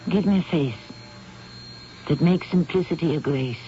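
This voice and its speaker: A man hosting a radio mystery drama, closing the episode with a measured recitation. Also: a little high. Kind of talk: scripted closing narration. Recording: dull old radio recording with faint hiss.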